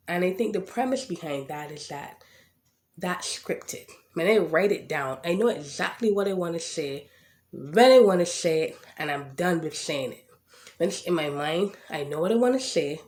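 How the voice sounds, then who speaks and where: Stuttering podcast host in an echoey room. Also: medium pitch. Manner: speaks with a stutter, occasional word repetitions; slight lisp. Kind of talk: casual personal monologue.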